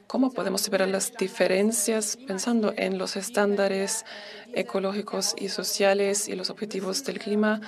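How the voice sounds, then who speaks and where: A woman works as a live interpreter in a formal register. Her delivery is very slow and halting, with a strong creak in the voice and pauses while she builds each phrase. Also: mid-range voice.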